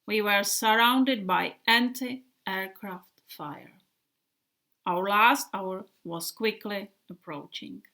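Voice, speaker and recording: A woman voicing a male bomber airplane who tells his wartime tale in a slow, dreamy way with hushed passages. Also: mid-range voice. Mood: dramatic.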